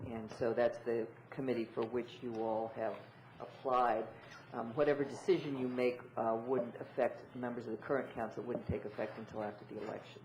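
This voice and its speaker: An American man moderates a formal public meeting. He speaks haltingly, feeling his way through procedure. The room is echoey, with clear background noise. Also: medium pitch, fairly even intonation, muffled recording. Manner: hesitant, halting. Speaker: meeting chair. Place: indoor council meeting room.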